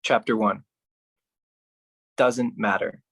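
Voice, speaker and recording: A man performing his own writing as a spoken-word solo artist, speaking deliberately with pauses. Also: lowish voice, steady tone, gravelly, a bit bright. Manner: slow, deliberate delivery with pauses.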